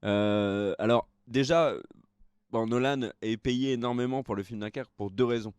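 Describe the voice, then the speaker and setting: A man's voice: medium pitch, fairly even intonation, normal speed, a little mellow, clear, resonant tone, no echo. Tired young man rambling with fillers. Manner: rambling, hesitant with many fillers. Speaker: co-host of a film news show. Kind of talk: casual live-stream chat.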